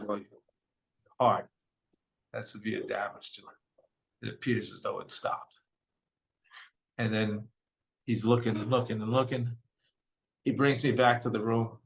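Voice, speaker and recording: A man's voice: steady tone, a little slow, vocal fry, long pauses, rough recording. Earnest older man from Brooklyn. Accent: New York. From Brooklyn, New York. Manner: earnest, retells dialogue in quotes, voice drops low and quiet at hard moments. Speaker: guest telling his story. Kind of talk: personal storytelling.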